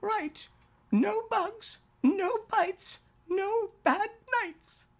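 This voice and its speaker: A woman voicing a small innocent girl in a high, chirpy, sometimes tearful childlike voice. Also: very slow, exaggerated voice. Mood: cheerful.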